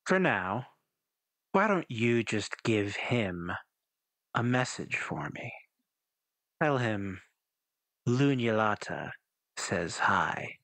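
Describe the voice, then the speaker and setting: A man voicing an enigmatic, quietly menacing figure with slow, measured, cryptic speech in a tabletop roleplay. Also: on the low side, big pitch swings, full character voice. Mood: cryptic, coaxing. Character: enigmatic, menacing man cloaked in smoke, calmly goading.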